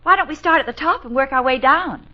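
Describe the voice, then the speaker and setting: A woman's voice: high-pitched, fast, lightly acted. A woman playing an eager, helpful young American secretary in an old radio comedy drama. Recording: dull old radio recording.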